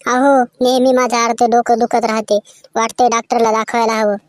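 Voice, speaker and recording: A woman's voice: a little high, little pitch movement, moderate pace, heavy background noise, natural voice. A woman playing a nagging, naive wife in scripted comedy cartoon skits. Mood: naive, chatty.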